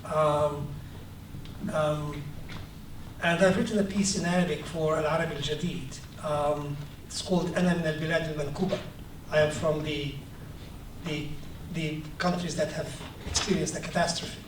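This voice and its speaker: A man from Palestine giving a talk about his book, reflective and hesitant with many ums, growing animated as he tells anecdotes. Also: fairly high pitch, normal speed. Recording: echoey room, distant mic, faint noise.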